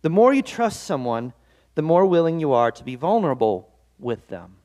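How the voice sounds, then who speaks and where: A man's voice: fairly high pitch, big pitch swings, moderate pace, a little mellow. A man preaching a devotional sermon as pastor, earnest and conversational, with self-deprecating asides. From Norwegian-American heritage.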